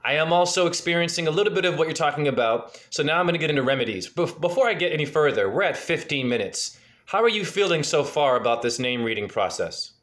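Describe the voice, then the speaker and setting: A man's voice: a little high, lively intonation, fast talker, few pauses, fairly bright tone. Earnest man on a video call. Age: 40s. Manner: freewheeling, earnest, asks probing questions. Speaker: spiritual numerology reader. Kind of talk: casual video-call name reading. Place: dim room indoors at home. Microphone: webcam on a video call.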